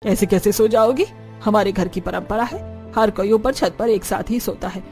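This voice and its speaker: A woman's voice: medium pitch, normal speed, darkish tone, soft background music, lightly acted. A woman voicing a strict, bossy older village mother-in-law in a cartoon, commanding and dismissive.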